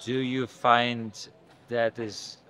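Guy interviewer, mid-pitch, quick questions. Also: level tone, a bit bright. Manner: brief questions.

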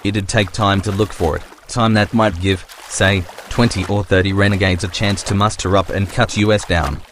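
A man voicing a stern, threatening pirate slaver in a motion comic, in a gruff, menacing manner. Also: deep voice, fairly even intonation, moderate pace, slight background noise, lightly acted. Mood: stern, wary. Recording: background music under the voice.

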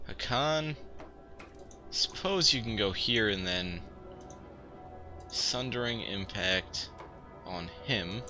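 A young man with a low voice talks at an unhurried pace, his tone rising and falling in lively swings as he muses over a strategy game. He is wry and jokingly bosses the characters around. Chiptune music plays clearly behind him while a shower runs somewhere nearby. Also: muffled recording. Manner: wry, barks playful orders at game characters. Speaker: gaming video host. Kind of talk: casual let's-play commentary. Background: chiptune music playing and a shower running.

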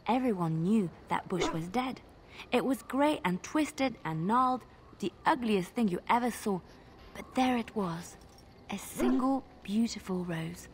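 An actress playing a devout young archer in a fantasy video game, earnest and hopeful, telling things in a hushed, dramatic way. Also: female voice, mid-range voice, animated, unhurried, slight background noise, natural voice. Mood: wistful, awed.

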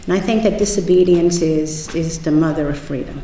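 An older woman speaks slowly and haltingly, reaching for each word. Her voice sits a little low. She is heard from a distance in a big echoing lecture hall, with a faint hiss underneath. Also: warm tone. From South Bronx, New York. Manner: halting, searching for words. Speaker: panelist. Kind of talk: panel talk. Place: large lecture hall at a panel table. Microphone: table mic on a stand. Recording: echoey hall, mic from far off.

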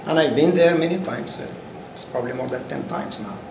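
Gravelly-voiced man from Morocco, hesitant. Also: a little high, a little fast. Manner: hesitant, many fillers and restarts. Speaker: agricultural researcher. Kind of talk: interview answers. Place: indoor room with curtain backdrop. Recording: roomy sound with air-conditioning hum.

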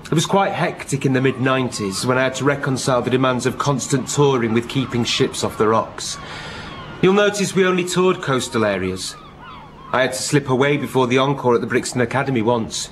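An actor in a comic radio play, playing an eccentric, evasive recluse of a rock star who poses as a lighthouse keeper, with a dry, deadpan manner. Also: male voice, mid-range voice, slight background noise, natural voice.

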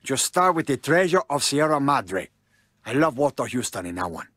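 A man's voice: medium pitch, sing-song, moderate pace, fairly bright tone, no room sound, clean studio sound. An actor playing a brash, hot-tempered male drug lord in a video game cutscene, with a profane, swaggering way of talking. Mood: cocky. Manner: swaggering, profane, cursing constantly.

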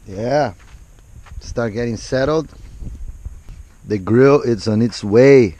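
Middle-aged man, laid-back vlog narration, slow and easy delivery, outdoor action-cam sound. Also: medium pitch. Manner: relaxed, unhurried running commentary. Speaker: vlogger. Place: outdoors in open desert.

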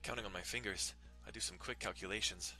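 A man's voice: on the low side, steady tone, fast, bright, forceful tone, faint music behind. A man giving a dramatic reading of a visual novel as its narrator. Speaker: dramatic reader.

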